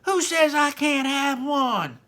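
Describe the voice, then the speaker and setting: A man's voice: very high-pitched, animated, slow, pushed and bright, pitched above the performer's own voice, heavily acted. A man voicing a spoiled, demanding little girl in a whiny, bratty way while reading a storybook aloud. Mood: defiant.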